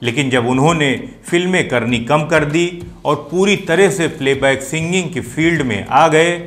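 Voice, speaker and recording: A man's voice: on the high side, moderate pace, a bit bright. A man hosting and explaining things to viewers in video commentary. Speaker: host of a film music show. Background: music playing behind the voice.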